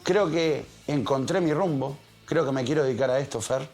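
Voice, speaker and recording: A man's voice: medium pitch, normal speed, darkish tone, faint music behind. A man with a Rioplatense Spanish accent hosting a podcast interview, stumbling a little over his words as he asks questions. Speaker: podcast host.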